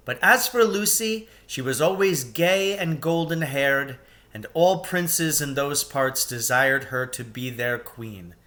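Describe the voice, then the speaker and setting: Guy reading a kids storybook out loud, real slow and dramatic, bright forceful voice. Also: on the high side. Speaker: reader of a children's novel. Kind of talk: expressive storybook narration.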